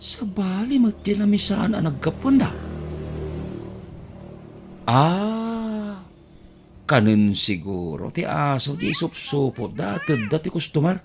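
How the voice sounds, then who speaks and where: A man acts out a put-upon Ilocano poor relation in an audio drama. He grumbles and exclaims indignantly, with very lively swings of pitch at an unhurried pace. Faint noise sits behind the voice. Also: vocal fry, heavily acted. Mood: puzzled grumbling.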